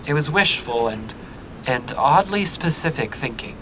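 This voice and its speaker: A man as a wry explorer, rumbly backdrop. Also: animated, unhurried, close to an everyday voice. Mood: wry, reflective. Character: reflective young male explorer narrating his journey. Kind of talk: audio drama acting and first-person narration. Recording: noisy, low rumbling hum behind.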